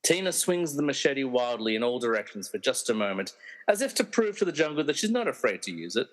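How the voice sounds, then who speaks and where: A man's voice: medium pitch, very animated, brisk. Reverberant male narrator of a radio play. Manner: dramatic storyteller, wry asides.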